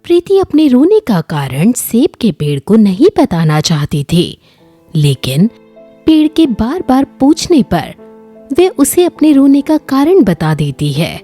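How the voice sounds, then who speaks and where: Female storyteller for children's tales: mellow, unhurried, expressive and dramatic narration. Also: fairly high pitch, faint music behind.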